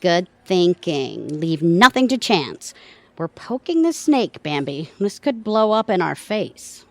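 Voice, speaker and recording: A woman's voice: lowish voice, very animated, slow, darkish tone, dry recording, full character voice. A woman playing a wry, bossy, scheming wife in a comic audio play, with a dry, sardonic manner. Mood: conspiratorial. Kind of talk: comic audio theatre acting.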